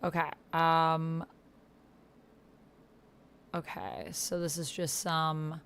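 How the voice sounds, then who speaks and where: Low, clear-voiced woman chatting through a game. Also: flat delivery, extremely slow, fairly bright tone. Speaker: host and player of an online text roleplaying game. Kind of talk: casual explanatory chat while playing, with some reading aloud.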